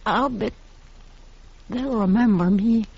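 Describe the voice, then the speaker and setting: A woman's voice: on the high side, takes it slowly, vocal fry, breathy edge, exaggerated voice. Defiant frontier woman, old radio. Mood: weak, dying. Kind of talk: 1950s radio western acting. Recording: dull, noisy 1950s radio recording, some echo.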